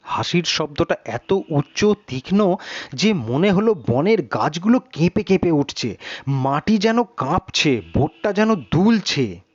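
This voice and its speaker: A man reading a suspense story in a measured, literary, dramatic narration. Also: a little high, very animated, slightly rough, dry recording, highs cut off.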